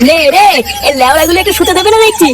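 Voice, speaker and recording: A man voicing a grumpy, foul-mouthed old grandfather in a comic cartoon, scolding in an exasperated way with an artificially raised pitch. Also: racing, shouting, background noise. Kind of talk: exaggerated comic cartoon dialogue.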